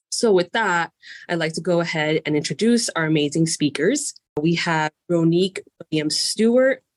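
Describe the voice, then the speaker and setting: A woman's voice: deep voice, darkish tone, no room sound. Upbeat woman hosting a podcast. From Miami, USA. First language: Spanish. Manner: upbeat, enthusiastic. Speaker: guest podcast host. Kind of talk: friendly podcast interview.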